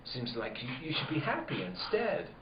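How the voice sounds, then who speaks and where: Man acting a needling, folksy country man, lively pitch. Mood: coaxing, uneasy. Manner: folksy dialect, halting, insinuating. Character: needling, blunt rural man Ed Bain. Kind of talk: spooky rural radio drama acting. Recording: roomy, muffled, noisy lo-fi recording.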